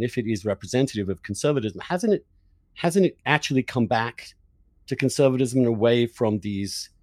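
A man's voice: mid-range voice, darkish tone, no echo. A man hosting an interview in a conversational, discursive way, thinking aloud and repeating words for emphasis. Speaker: interviewer, podcast host.

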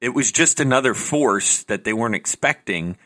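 A man's voice: medium pitch, normal speed. A man co-hosting a podcast, chatting casually and opinionated, often restarting his sentences. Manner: opinionated, animated, trails off into restarts. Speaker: podcast co-host. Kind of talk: casual chat.

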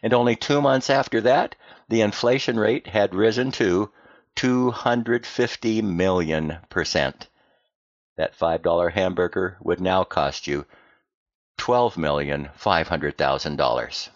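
An American man delivering a scripted, explanatory podcast monologue in a plain-spoken way, with deliberate emphasis and rhetorical questions. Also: low-pitched, no room sound, highs cut off. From United States. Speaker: podcast host.

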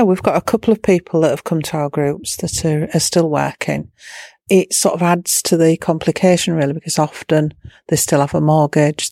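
A woman's voice: low voice, darkish tone, dry recording, studio quality. A woman charity manager answering interview questions in a plain-spoken way, with frequent fillers and restarts.

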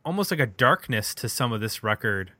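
Bright-voiced man hosting a podcast, dry sound. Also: mid-range voice, big pitch swings, a little fast, dry recording. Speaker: podcast host. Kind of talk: casual music review chat.

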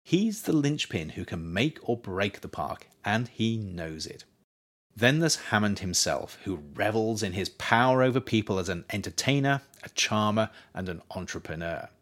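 Measured man reading his own book. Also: lowish voice, a bit bright. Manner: measured, emphatic reading that rises on key words and drops at sentence ends. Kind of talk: audiobook narration.

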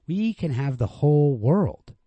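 A man's voice: a little high, very animated, a little slow, husky, no room sound, highs cut off, pitched above the performer's own voice, heavily acted. A man playing an insistent, anxious young man who emphatically cajoles and placates his girlfriend in a story reading. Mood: urgent reassurance.

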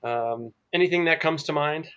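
A man's voice: fairly high pitch, normal speed, fairly bright tone, clear, resonant tone. A man hosting a church podcast, asking guests questions in a casual, friendly way with many fillers. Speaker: podcast host, church fellow. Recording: slightly dull, lo-fi audio.

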